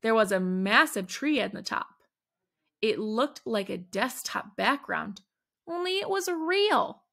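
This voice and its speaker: Woman storyteller for a kids' read-aloud: slow, slightly gravelly, expressive. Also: a little high. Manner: animated, dramatic emphasis on exclamations. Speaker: children's book reader.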